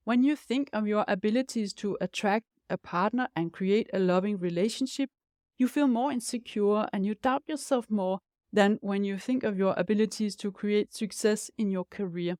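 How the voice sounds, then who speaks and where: A woman's voice: medium pitch, darkish tone, no room sound, clean studio sound. Danish-accented woman, upbeat podcast host. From Denmark. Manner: upbeat, encouraging, direct address to listener. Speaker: podcast host, life coach. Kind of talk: scripted podcast monologue.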